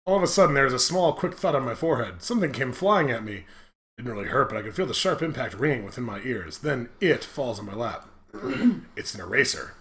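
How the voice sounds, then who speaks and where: A man's voice: mid-range voice, expressive, a little fast, a bit bright, echoey room. A man co-hosting a gaming show, reading aloud and bantering casually in a crude, jokey manner.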